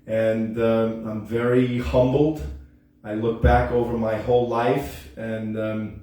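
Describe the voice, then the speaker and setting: A man's voice: mid-range voice, little pitch movement, takes it slowly, warm tone. A man in his late fifties hosting his own home music show, talking in a loose, earnest monologue full of ums and restarts. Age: 50s. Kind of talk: rambling casual monologue. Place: room at home, at a piano. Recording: echoey room, mic at a distance.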